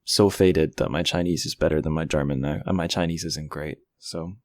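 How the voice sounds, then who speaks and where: A man with a notably low voice hosts a podcast interview. He talks in an informal, conversational way, like casual chat. The recording is dry, with hardly any room sound. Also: fairly even intonation, fairly quick, no room sound. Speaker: podcast host.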